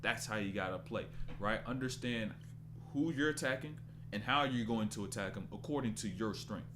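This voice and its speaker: A man's voice: lowish voice, moderate pace, music in the background. A man in his twenties doing casual live-stream basketball commentary, loose and rambling as he stops to answer chat. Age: 20s.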